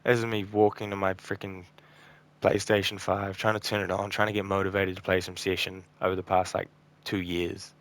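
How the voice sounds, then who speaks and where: Tired raspy man with a gravelly low voice. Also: flat delivery, a little fast, fairly bright tone. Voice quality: croaky, a bit raspy. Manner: subdued, rambling, frequent ums and trailing off. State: tired and burnt out. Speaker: gaming channel host. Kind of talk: casual gameplay commentary.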